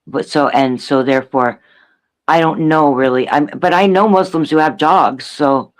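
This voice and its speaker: A man from Canada with a Canadian accent, chatting casually on a panel in a halting way, repeating phrases. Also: fairly high pitch. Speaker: panel participant.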